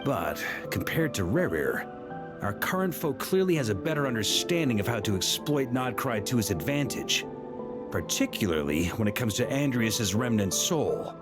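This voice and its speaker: A seasoned knight leader of an expedition, voiced by a man for a video game. He talks strategy with composed, dry confidence and an animated pitch. Game music runs behind him. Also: moderate pace, close to an everyday voice.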